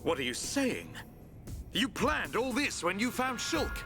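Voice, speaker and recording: High-pitched, incredulous young hero. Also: male voice, huge pitch swings, measured pace, over music, exaggerated voice. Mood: shocked, defiant. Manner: incredulous, challenging. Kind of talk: video game cutscene acting.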